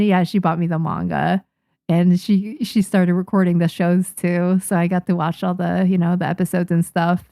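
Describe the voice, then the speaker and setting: A woman's voice: fairly low pitch, warm tone, no room sound, studio quality. A young woman from Japan, a native Japanese speaker, chatting casually with viewers on a livestream, with frequent restarts and small laughs. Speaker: manga artist streamer. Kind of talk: casual livestream chat reading viewer comments.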